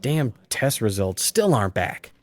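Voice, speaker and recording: A man's voice: on the low side, sing-song, measured pace. An actor playing an irritable, preoccupied businessman in an audio drama. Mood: irritated.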